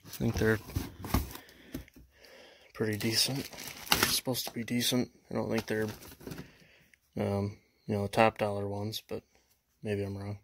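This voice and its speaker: A young man chatting casually in a vlog, halting and full of fillers, filming indoors at a house. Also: fairly low pitch, monotone, vocal fry, noisy background. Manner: halting, many fillers, unsure. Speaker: vlogger. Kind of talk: casual show-and-tell vlog.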